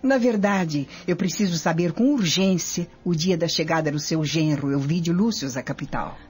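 A woman playing a formal, curious Roman noblewoman in a radio drama, breathy and urgent. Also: lowish voice, normal speed, darkish tone, natural voice.

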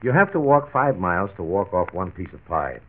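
An actor playing a frank, reassuring family doctor who explains firmly, in 1940s radio style. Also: male voice, low voice, very animated, brisk. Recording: tinny 1940s radio transcription disc.

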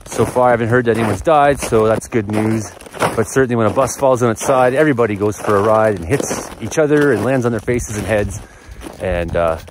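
A man's voice: medium pitch, background noise. A man in his forties with a Canadian accent, chatting casually and in a rambling, folksy way as he vlogs into a phone outdoors. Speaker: vlogger. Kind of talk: casual vlog chat. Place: mostly outdoors walking on a snowy rural road. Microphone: phone at arm's length.

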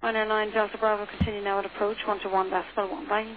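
Fast-talking female controller on tinny radio. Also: mid-range voice, flat delivery. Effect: aviation radio. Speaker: air traffic controller. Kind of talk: radio air traffic instructions. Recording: tinny radio band with clear static.

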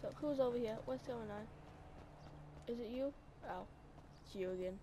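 Sleepy sniffly girl rambling over her game, kinda high voice, loud noisy background. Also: normal speed. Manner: low-energy, sleepy, rambling. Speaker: gameplay streamer. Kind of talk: casual gameplay commentary. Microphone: new desk mic.

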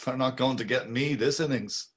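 A man's voice: mid-range voice, close to an everyday voice. A middle-aged actor playing a wry, musing Dublin man, reading over a video call. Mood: brooding. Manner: musing inner monologue, slow and measured. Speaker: actor reading a male lead. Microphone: webcam on a video call.

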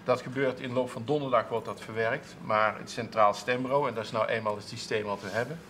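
A man's voice: mid-range voice, fairly even intonation, quick pace, a bit bright, slight background noise. A man in his thirties, a council clerk, answering interview questions in a TV studio with many restarts and fillers. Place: TV studio with seated audience, at a table.

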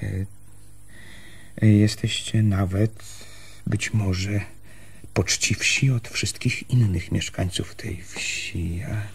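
An actor playing an earnest, suspicious newcomer to a village in a radio drama, hesitant and stammering, with a husky voice. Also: male voice, lowish voice, fairly even intonation, slow, pauses often, a little hiss or hum. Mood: agitated, uneasy. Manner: hesitant, stammering, breaking off and restarting sentences.

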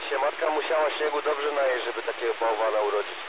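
A man's voice: medium pitch, fast. Blunt scolding man on noisy radio. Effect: radio transmission. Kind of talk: casual radio argument. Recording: very noisy amateur radio link.

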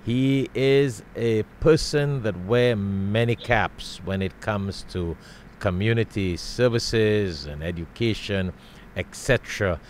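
A middle-aged man from Trinidad hosting an interview in a studio, speaking into a boom mic with frequent restarts and repetitions. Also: takes it slowly, slight background noise. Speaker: interview host. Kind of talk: casual interview. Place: studio with world map backdrop.

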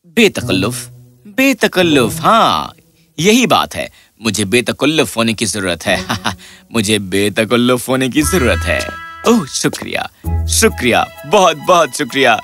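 A man voicing an earnest, excitable, lovelorn young poet in a cartoon fairy tale, with dramatic delivery. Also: mid-range voice. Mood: excited realization. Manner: dramatic, pleading, repeats himself. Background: music.

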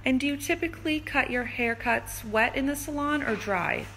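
A woman's voice: high voice, moderate pace, a bit bright, a little hiss or hum. A woman hosting a casual live stream, putting questions to a guest. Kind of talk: casual live interview, relaying viewer questions. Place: indoors in a hair salon.

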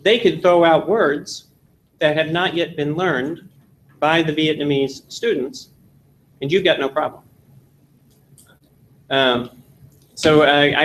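A man from Texas in his forties, giving an animated classroom lecture and telling jokes in a seminary meeting room. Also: on the high side, a little hiss or hum, poor audio. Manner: animated storytelling with jokes, gesturing. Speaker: seminar instructor.

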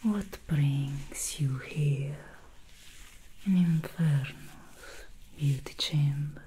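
A young woman whispering slowly as an alluring female demon hairstylist in an ASMR roleplay, close to the mic. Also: low voice, warm tone, a little hiss or hum, poor audio.

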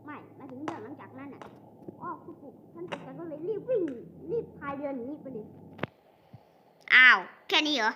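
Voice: high-pitched, a little fast, dull audio. A child acting out playful, improvised cartoon story dialogue between several characters, switching from one to another. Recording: noisy home recording.

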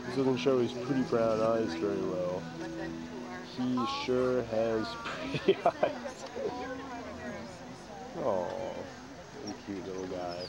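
A man's voice: fairly low pitch, slow pace, vocal fry, pauses often. A man chatting casually at a busy flea market. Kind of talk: casual chat. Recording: loud crowd chatter around him.

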